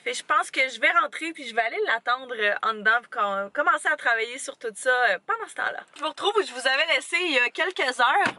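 A woman's voice: high voice, fast, echoey room. A young woman in her twenties with a Quebec French accent, chatting casually to a handheld camera at home and in her car. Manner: chatty, relaxed, fillers like "fait que". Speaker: vlogger. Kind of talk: casual vlog chat. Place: home kitchen and parked car. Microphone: handheld camera at arm's length.

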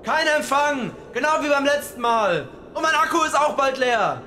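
A man's voice: very high voice, expressive, moderate pace, sharp tone, a little hiss or hum, pitched above the performer's own voice, full character voice. A man voicing a chipper, nervously flippant nerdy guy in an audio story, with a breezy delivery. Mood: matter-of-fact.

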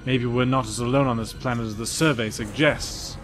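A man's voice: mid-range voice, steady tone, brisk, music in the background, close to an everyday voice. A man playing a wary, insistent spaceship crewman in a sci-fi audio drama.

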